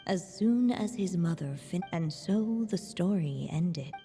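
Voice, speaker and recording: Grave fairytale narrator, slow and mellow, big reverb, game storybook. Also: female voice, lowish voice, expressive. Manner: measured, grave. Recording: long reverb, music underneath.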